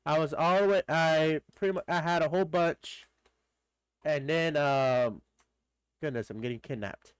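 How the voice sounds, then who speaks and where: Streamer, male, congested nose, smooth clean voice, bone-dry close mic, rambling friendly chat. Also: a little high, a little slow, no room sound. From Texas. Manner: rambling, greets chatters by name. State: stuffed-up nose. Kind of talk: casual live-stream chat.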